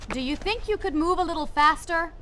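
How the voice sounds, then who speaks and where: An actress voicing a proud, commanding young princess in a video game, speaking briskly and directly. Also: female voice, very high voice, sharp tone, a little hiss or hum. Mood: determined. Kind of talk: game cutscene acting. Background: game music.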